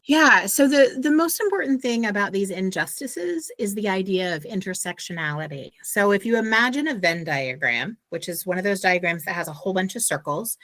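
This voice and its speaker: A woman author being interviewed, explaining ideas earnestly with lively asides. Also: medium pitch, no room sound. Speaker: author and guest. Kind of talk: conversational interview.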